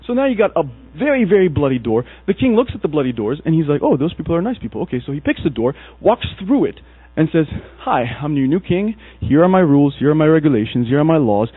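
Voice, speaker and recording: A man from Egypt preaches and tells stories, acting out the people in them. His voice is a little husky at a middling pitch. It comes through a tinny, dry, phone-like recording with a mains hum. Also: fast, dry recording. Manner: storytelling, animated, acts out quoted characters. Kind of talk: sermon.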